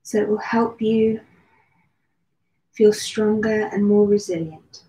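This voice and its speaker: A woman leading a guided meditation, speaking slowly and soothingly with long pauses. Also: mid-range voice, little pitch movement, dark tone. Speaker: meditation and yoga teacher. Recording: roomy echo with faint background noise.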